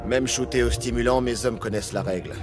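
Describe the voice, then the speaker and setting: An actor playing a weary, gruff security captain in a video game, speaking in a blunt, dry, colloquial way. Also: male voice, medium pitch, fast, music in the background, natural voice. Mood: weary, sardonic. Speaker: guard captain.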